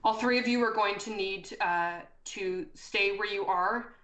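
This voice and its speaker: A woman running a tabletop game as game master, improvising narration and rulings in a deliberate way as she thinks aloud. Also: medium pitch, a bit bright. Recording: roomy echo.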